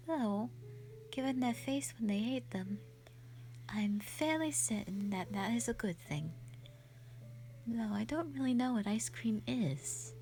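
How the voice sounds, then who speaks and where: Woman playing a slow-spoken, gentle shy dragon lady. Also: on the high side. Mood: wistful, gentle. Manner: soft, breathy, hesitant, sweet and earnest. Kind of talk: roleplay audio drama, intimate one-sided dialogue.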